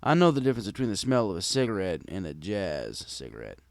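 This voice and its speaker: A man's voice: low voice, huge pitch swings, fast talker, gravelly, dry recording, clean studio sound. A man reading a scripted first-person story as a wry, conversational bartender pony. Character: wry, gruff bartender pony telling his tale. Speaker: story narrator.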